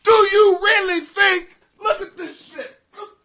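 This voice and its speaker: A middle-aged American man hosting a comedy podcast in a brash, profane, excitable rant that often rises to shouting. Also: extremely high pitch, takes it slowly, vocal fry, a little hiss or hum. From Greenwich, Connecticut, United States. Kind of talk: ranting comedy podcast monologue.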